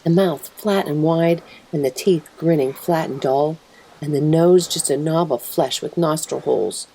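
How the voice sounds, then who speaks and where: A woman voicing an anguished, plainspoken mother wolf in a dramatic first-person story reading, grave and emotive, with a husky, breathy voice. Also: low voice, measured pace, dark tone, heavily acted, low-quality recording. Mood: hushed dread. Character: mother wolf telling of her husband's horrifying change, anguished, plainspoken.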